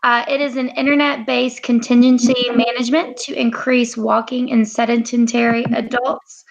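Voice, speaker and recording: A young woman presents a research study for a class, her voice a little high with a gravelly edge. She reads from notes, stopping often for fillers and short pauses. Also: little pitch movement, highs cut off. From United States. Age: 20s. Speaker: graduate student presenter.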